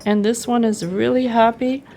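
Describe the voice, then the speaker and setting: A woman's voice: a little high, steady tone, moderate pace, creaky, warm tone. A woman narrating her personal travel vlog in an enthusiastic, appreciative way. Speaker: travel vlogger.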